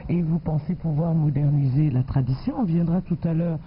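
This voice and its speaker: A woman's voice: sits low, a little fast. Probing woman interviewer on lo-fi radio. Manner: probing, pressing follow-up questions. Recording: dull lo-fi radio broadcast with faint hiss.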